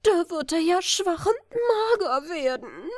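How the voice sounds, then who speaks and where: A fretful queen mother from a fairy tale, acted out by a woman reader. Her voice is pitched very high and wails anxiously, full of dread for her boy. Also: very animated, takes it slowly, a little mellow, dry recording, clean studio sound, heavily acted. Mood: distraught, wailing. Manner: anxious, wailing.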